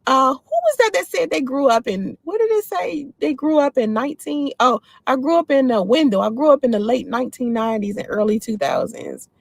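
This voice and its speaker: A woman in her forties from the D.C. area talks straight to her livestream viewers and answers their comments without holding back. Her voice sits a little high. The sound is dry and close to the mic. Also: animated, fairly quick, vocal fry, hardly any pauses, no echo. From Washington, D.C. area. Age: 40s. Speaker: livestream host. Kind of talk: casual livestream chat.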